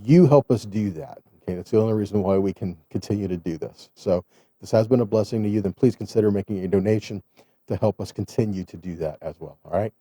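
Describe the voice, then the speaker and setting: A man's voice: on the low side, quick pace, dark tone, no echo, clean studio sound. A man giving an informal sermon as a Bible teacher, earnest and exhorting, often checking in with rhetorical questions. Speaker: Bible teacher, host of online Torah studies.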